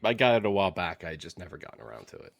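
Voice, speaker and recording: A man co-hosts a podcast in a casual, wry way, leaning on phrases for emphasis. His pitch moves around a lot, and the recording is tight and dry. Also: big pitch swings, racing, gravelly, no echo. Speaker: podcast co-host. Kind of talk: casual chat.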